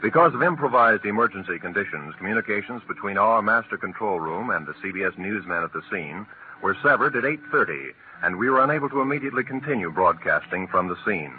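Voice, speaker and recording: A man with a fairly low voice reads formally, in the measured style of 1940s radio. The recording is tinny with faint noise. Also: lively intonation. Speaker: network announcer.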